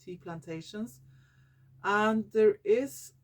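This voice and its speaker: A Norwegian woman vlogging in English, her second language, often pausing to search for words and correcting herself. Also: mid-range voice, darkish tone. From Norway. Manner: slow and searching for English words, many fillers and self-corrections. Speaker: YouTuber. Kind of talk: casual talking-head vlog.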